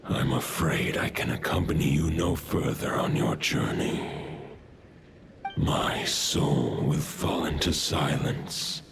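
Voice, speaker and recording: Cast an ancient wolf spirit: deep, gravelly, slow and solemn, over loud ambient noise. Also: male voice, exaggerated voice. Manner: slow, solemn farewell speech.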